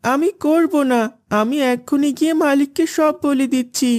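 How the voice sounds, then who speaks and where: Woman playing loyal young cartoon servant boy, high voice, firm, defiant manner. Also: normal speed, darkish tone, lightly acted. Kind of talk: animated cartoon dubbing.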